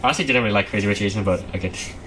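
A man's voice: lowish voice, very fast, fairly bright tone. A young man chatting casually with friends, rambling with lots of fillers and frequent laughs. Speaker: friend in a group chat. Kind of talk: casual chat. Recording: noisy recording.

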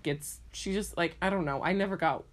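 A woman's voice: lowish voice, a little fast. A young woman co-hosting a podcast in casual chat. She rambles and fills her talk with "like" and self-mocking asides. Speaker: podcast co-host.

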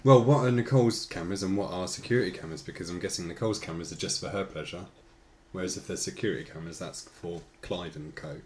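A man co-hosting a casual podcast, playful, teasing and excitable. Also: low voice, fast, slight background noise. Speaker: podcast co-host. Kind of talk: casual chat.

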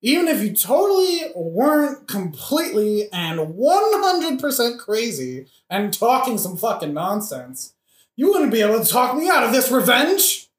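A woman's voice: a little high, sing-song, unhurried. Woman as brash sweary young hothead out for revenge, voice jumps all over, echoey room, audio drama. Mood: exasperated pity. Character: hot-headed, foul-mouthed young male avenger Laertes. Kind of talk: satirical modern-language audio play acting. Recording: roomy, echoing audio-play recording.

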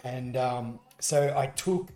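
An Australian man talks through his story as a radio guest, earnest and a little halting, often restarting his sentences. His voice sits at an ordinary pitch, and the studio adds a roomy echo around it. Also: mellow. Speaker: musician guest. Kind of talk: casual radio interview.

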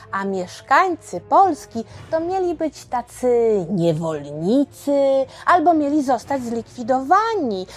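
A woman narrating a history story for children in speech, expressive and colloquial, addressing her listeners directly. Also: high voice. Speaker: storyteller and podcast host for children. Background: music.

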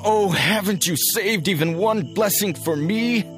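An actor playing an emphatic, hot-tempered eldest son, a hunter, in a dramatized audio Bible. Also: male voice, lowish voice, animated, moderate pace, soft background music. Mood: bitter pleading. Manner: emphatic, emotional delivery.

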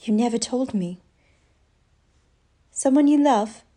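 A gentle, heartbroken young sister in a radio play acted by a woman. Her voice is fairly high yet mellow, with tender, theatrical earnestness. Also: takes it slowly. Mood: tender concern. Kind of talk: radio drama acting.

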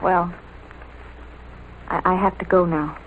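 An actress playing a sympathetic, world-weary newspaper reporter in an old-time radio drama, gentle and halting. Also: female voice, lowish voice, fairly even intonation, breathy edge. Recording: tinny, slightly hissy old radio.

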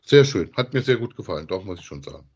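Lowish-voiced, rambling man. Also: big pitch swings, quick pace. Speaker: podcast co-host. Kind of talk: casual podcast review chat.

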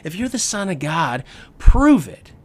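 A man's voice: a little high, sing-song, measured pace, pitched above the performer's own voice. A man voicing a snarky, critical adversary spirit, goading and taunting in a sermon retelling.

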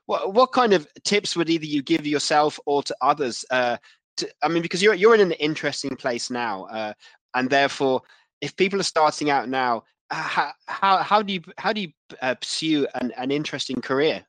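A man hosting a casual interview, halting and repeating words as he forms his thoughts. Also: on the high side, expressive, a bit bright, no room sound. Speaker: podcast host.

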